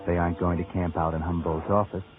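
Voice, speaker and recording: Low, sardonic vintage radio mystery narrator man acting. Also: flat delivery, quick pace, close to an everyday voice. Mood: smug, mocking. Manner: sardonic, insinuating. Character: sly, taunting narrator voicing a fugitive's thoughts. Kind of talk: 1940s radio mystery narration in second person. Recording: tinny vintage radio recording with loud music.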